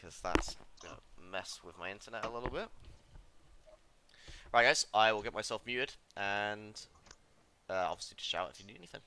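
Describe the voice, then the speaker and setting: Bright-voiced man commentating casually. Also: on the low side, moderate pace, long pauses, slight background noise. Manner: chatty, laughs easily, swears. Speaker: stream commentator and tournament organiser.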